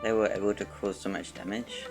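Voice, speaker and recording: Guy streaming a game, low flat voice, gravelly, dry little asides, music behind. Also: fairly quick. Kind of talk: offhand commentary over gameplay.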